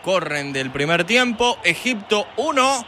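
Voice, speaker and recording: A man giving live football commentary on the radio, animated and building emphasis, stretching his words when he calls a goal. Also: high voice, unhurried, slight background noise.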